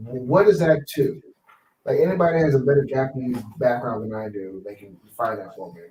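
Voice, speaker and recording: A man's voice: medium pitch, fairly quick, warm tone, far from the mic, slight background noise. A playful, joking man co-hosts a live-streamed chat. His voice sits back from the mic in a roomy, echoing space. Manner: joking, playful, drops into Spanish. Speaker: co-host.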